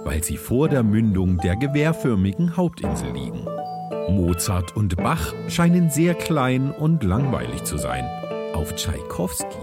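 Someone doing a theatrical pirate narrator over loud music. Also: mid-range voice, huge pitch swings, a little slow. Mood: dramatic storytelling. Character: gruff pirate narrator describing islands. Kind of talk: scripted narration in a pirate adventure. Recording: music behind the voice. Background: prominent music.

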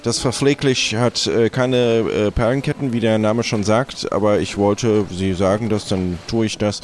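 A man voicing a nervous, deferential pirate companion in an improvised audio adventure, halting and stammering. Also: lowish voice, fairly even intonation, close to an everyday voice. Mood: nervous, hesitant.

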